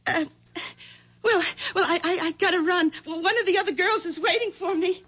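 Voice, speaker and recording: An actress playing a scatterbrained, flustered young bank clerk, dithering and apologetic, in a 1970s radio drama. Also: female voice, very high-pitched, moderate pace, a bit bright. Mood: apologetic, gushy. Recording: muffled 1970s radio broadcast.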